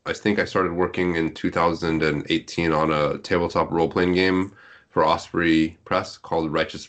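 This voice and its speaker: Deep voiced guy, podcast guest, casual chat, explains stuff in a measured way. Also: a bit bright. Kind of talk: casual conversation. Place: group online call.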